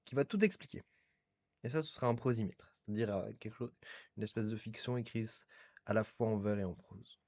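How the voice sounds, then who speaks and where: Podcast guest, male, low voice, ornate literary diction, muffled recording. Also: a little fast, darkish tone. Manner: elaborate, literary phrasing. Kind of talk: casual conversation.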